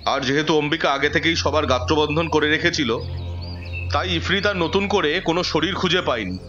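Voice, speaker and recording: A man's voice: a little high, natural voice. A man acting as a confident occult expert in an audio drama, explaining things like a storyteller. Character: confident male occult expert recounting a battle with a djinn. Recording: muffled audio under prominent music.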